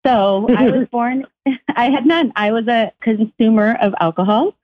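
A woman's voice: on the high side, normal speed. A woman from Chile, a company founder, speaking candidly as a radio interview guest and laughing easily. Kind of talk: phone interview, personal story. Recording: dull lo-fi call audio.

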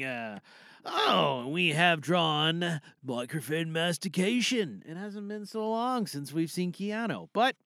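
A man, chatting as a podcast host in an animated, jokey manner. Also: sits high, no room sound, studio quality.

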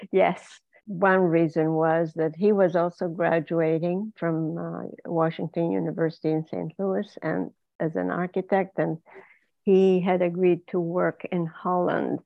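Slow, gravelly older woman from Turkey. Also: lowish voice, level tone, no room sound, narrow, tinny audio. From Izmir, Turkey. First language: Ladino. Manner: unhurried, laughs often while telling stories. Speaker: guest. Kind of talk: remote interview, personal story.

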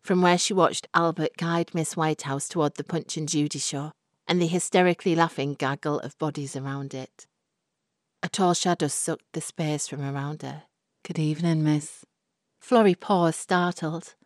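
A woman reading literary fiction as a narrator, in a measured, expressive storytelling manner. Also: low-pitched, no room sound, clean studio sound. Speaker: short story reader.

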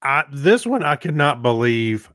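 A man's voice: medium pitch, big pitch swings, measured pace, a bit bright, no echo, studio quality. A man co-hosting a casual podcast, chiming in with short, hesitant, skeptical asides. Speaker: podcast co-host. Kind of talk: casual chat, short reactions.

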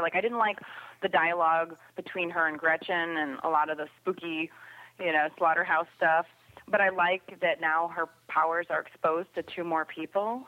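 A woman with a slightly low voice gives emphatic opinions in a casual TV review chat. She comes through a muffled, phone-like connection with little room sound. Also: no room sound. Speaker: podcast guest commentator on sci-fi TV. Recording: dull, phone-like line.

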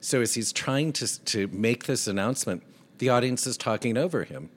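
A soft-voiced man co-hosting a talk show, telling an animated anecdote and mimicking the people in it. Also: medium pitch, moderate pace. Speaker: podcast co-host. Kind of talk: casual storytelling chat.

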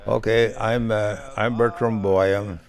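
A man of about a hundred from rural Minnesota, who grew up speaking Norwegian, telling old memories in a halting, folksy way. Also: on the low side, level tone. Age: about 100. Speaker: interviewee, auctioneer. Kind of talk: recorded reminiscence.

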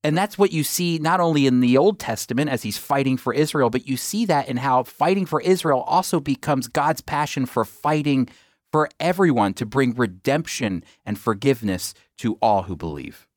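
A man teaching from scripture in an earnest, emphatic way, slowing down to stress key phrases. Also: mid-range voice, animated, no echo, studio quality. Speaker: Bible teacher.

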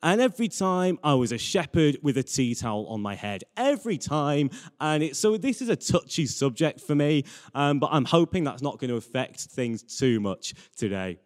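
A man's voice: fairly high pitch, very animated, normal speed, few pauses. A young British man giving an earnest, conversational sermon as a church preacher. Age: young adult. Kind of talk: sermon with Bible reading. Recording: long hall reverb.

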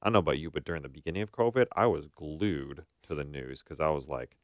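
A man's voice: a bass voice, fast talker, no echo. A man co-hosting a podcast in casual conversation, reflective and informally qualifying his points. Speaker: podcast co-host, therapist.

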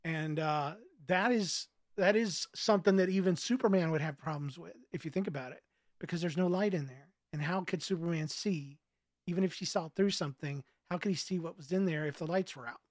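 A man speaking as an author in a casual interview, giving earnest answers in a thoughtful, halting way. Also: on the high side, no room sound.

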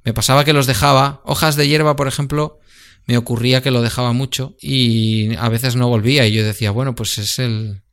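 Middle-aged man, clear resonant voice, hesitant chatter with fillers and restarts. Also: medium pitch, steady tone, brisk, fairly bright tone. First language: Spanish. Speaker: guest. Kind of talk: casual conversation about books.

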